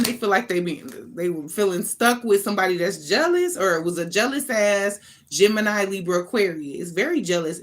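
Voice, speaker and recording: An American woman with a mid-range voice hosts a casual tarot reading. She speaks off the cuff in loose slang, leaning hard on her points and swearing casually as she goes. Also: medium pitch. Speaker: tarot reader, online channel host. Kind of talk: informal improvised tarot reading.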